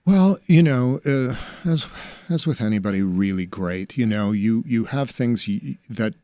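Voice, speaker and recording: A man's voice: mid-range voice, animated, measured pace, mellow, dry recording. A man in his forties from Pittsburgh, an actor talking casually in an interview, thoughtful, often restarting sentences and laughing easily. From Pittsburgh, USA. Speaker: stage actor guest. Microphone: studio mic.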